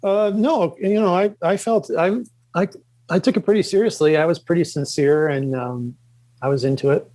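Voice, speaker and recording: Halting middle-aged man, lots of fillers. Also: fairly high pitch, warm tone. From Chicago suburbs, Illinois. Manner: halting, many fillers and restarts. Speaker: podcast guest, comics creator. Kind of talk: casual interview talk.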